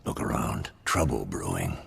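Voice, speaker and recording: A man's voice: very deep voice, very animated, normal speed, vocal fry, a bit bright, exaggerated voice. A man playing a grave, wary traveling companion in a video game, terse and muttering. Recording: reverberant hall, faint background noise.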